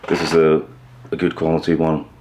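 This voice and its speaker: A man presenting an informal explainer video in a measured, matter-of-fact way. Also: very deep voice, monotone, a little mellow, a little hiss or hum. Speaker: host of a hi-fi upgrade video channel.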